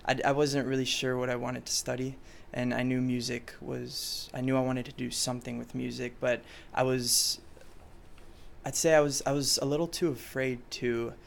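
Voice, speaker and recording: A man's voice: mid-range voice, level tone, normal speed, creaky, slight background noise. A young man from France, a native French speaker, talking in a casual interview with many fillers and restarts. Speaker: pop singer guest.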